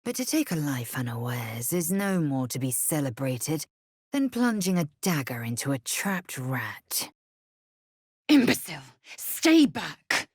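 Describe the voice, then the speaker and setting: An actress voicing a fierce, haughty alien warrior woman in a video game, with a husky voice and an imperious, clipped, disdainful manner. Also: low-pitched, big pitch swings, measured pace, a little mellow, dry recording, studio quality, full character voice. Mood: furious. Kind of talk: video game character voice lines.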